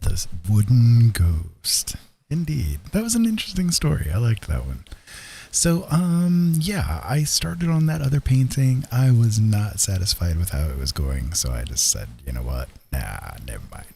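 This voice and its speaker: A man's voice: lowish voice, big pitch swings, unhurried, vocal fry, mellow, breathy edge. A man hosting a casual livestream, chatting in an easygoing, rambling way. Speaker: host, livestreaming painter. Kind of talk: casual chat.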